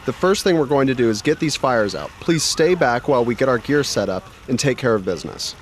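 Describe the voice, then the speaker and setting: A man's voice: medium pitch, animated, fairly quick, a little hiss or hum, natural voice. A man playing a businesslike volunteer firefighter taking charge of a scene in a radio drama.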